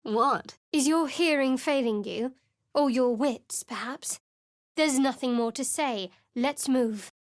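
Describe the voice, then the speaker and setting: A guarded, sharp-tongued young woman adventurer for a video game. She talks in a dry, clipped, sardonic way, in a fairly high voice. The studio sound is close and completely dry. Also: takes it slowly, no room sound. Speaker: companion character.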